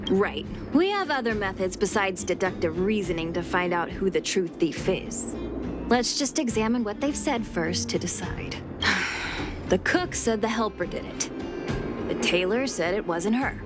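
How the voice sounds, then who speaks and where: A sensible, level-headed young woman acted by a woman in a video game. She explains in a measured voice of ordinary pitch while loud music plays behind. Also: lively intonation, lightly acted. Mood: calm, weary. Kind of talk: video game character acting.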